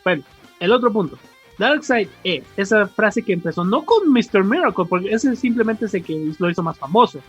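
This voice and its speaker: Casting: Mexican male podcast co-host, high husky voice, casual explainer, on a muffled lo-fi recording. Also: lively intonation, normal speed, fairly bright tone. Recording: dull lo-fi sound, music behind.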